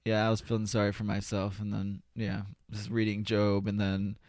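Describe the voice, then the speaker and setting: A man talking candidly and reflectively as a podcast guest, haltingly, often falling back on "you know". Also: on the low side, monotone, a little mellow, no echo, clean studio sound. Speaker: interview guest, spoken-word poet. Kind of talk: casual, candid podcast conversation.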